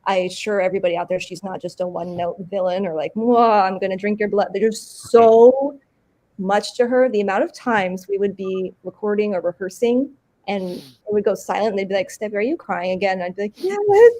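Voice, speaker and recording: A woman actor chatting in an interview, bubbly, with frequent laughs and quick bursts of talk. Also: mid-range voice, mellow, poor audio. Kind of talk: casual interview chat.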